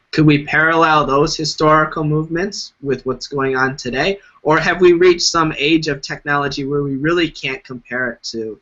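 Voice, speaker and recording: A man's voice: medium pitch, bright, forceful tone, highs cut off. A man hosting an interview show, asking curious, inquisitive questions.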